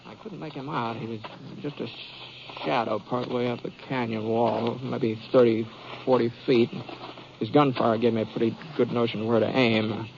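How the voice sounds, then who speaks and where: A man's voice: mid-range voice, steady tone, normal speed, creaky, heavy background noise, natural voice. An actor playing an easygoing, folksy cowboy hero who tells his tale with a hesitant drawl in a 1950s radio western. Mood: tense, hushed. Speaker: lead actor and narrator. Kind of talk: 1950s radio western acting, first-person storytelling. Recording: dull 1950s radio recording, music behind.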